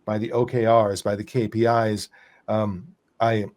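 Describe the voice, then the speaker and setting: A man's voice: lowish voice, little pitch movement, unhurried, darkish tone. Long-winded male podcast host. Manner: long-winded, thinking aloud with restarts. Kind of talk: interview host chat.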